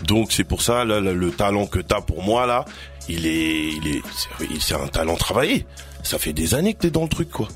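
A man hosting a casual interview, enthusiastic and full of quick affirmations. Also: low voice, very animated, faint music behind. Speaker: host.

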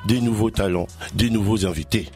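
A man hosting a casual interview, enthusiastic and full of quick affirmations. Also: fairly low pitch, a little mellow, soft background music. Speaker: host.

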